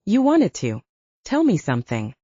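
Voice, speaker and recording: Nervous, insecure young man, played by a woman narrator; hesitant, husky, lo-fi audiobook. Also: mid-range voice, wildly swooping pitch, normal speed, warm tone, dry recording. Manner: hesitant, stumbling.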